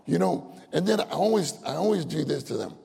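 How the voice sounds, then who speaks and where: Gravelly older man preaching in a hall. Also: a little high, animated, fairly quick, warm tone. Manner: folksy storytelling, laughs often, acts out voices. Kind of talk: sermon. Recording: long hall reverb.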